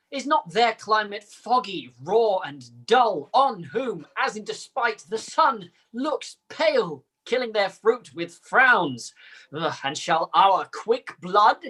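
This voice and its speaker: A proud, scornful Shakespearean noble. The voice is husky and moves slowly through measured verse in a stage-rehearsal style. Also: female voice, mid-range voice, sharp tone, heavily acted. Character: amateur actress reciting a proud Shakespearean French noble.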